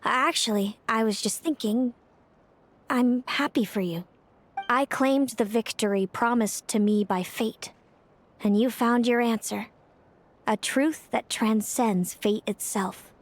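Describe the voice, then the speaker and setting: A woman voicing a solemn, fatalistic young seer in a fantasy video game, measured, brooding and formal. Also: fairly high pitch, slight background noise. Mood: softened, sincere. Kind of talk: scripted video game voice acting.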